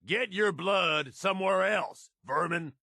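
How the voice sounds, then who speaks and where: A middle-aged game raider lieutenant, crude and scheming. His voice is gravelly and unhurried, rising sharply when he barks orders in a fight. The recording is dry and close, with no room sound. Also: high voice, pushed and bright, no echo, full character voice. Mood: combat barks. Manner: gravelly, crude and sardonic, tough-guy drawl. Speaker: companion character. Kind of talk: video game combat and companion barks.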